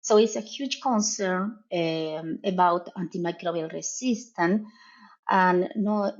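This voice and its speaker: A middle-aged woman from Argentina with a Spanish accent, a veterinary professor explaining her research in a podcast interview, measured and pausing often. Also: lowish voice, muffled recording. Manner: measured, explanatory, with frequent "uh" pauses.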